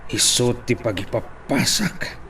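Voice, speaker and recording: A man playing a corrupt town mayor in an audio drama, bossy and deliberate as he gives orders. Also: mid-range voice, expressive, vocal fry, pushed and bright, slight background noise, full character voice. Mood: commanding, demanding. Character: corrupt, commanding male town mayor, scheming villain.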